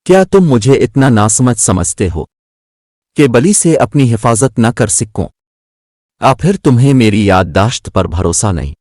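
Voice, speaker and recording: A stubborn young duckling from a children's cartoon story, acted out by a man. He sounds put-upon and indignant, with very lively intonation and a close, dry sound. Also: medium pitch, a little slow, no room sound. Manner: indignant, plaintive. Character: stubborn, sulky little duckling, young male.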